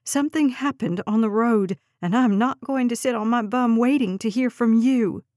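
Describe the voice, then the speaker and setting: A woman's voice: a little high, normal speed, darkish tone, dry recording, clean studio sound, lightly acted. A woman voicing a frantic, demanding mother of a missing girl in an audiobook, urgent and pleading. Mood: angry, insistent.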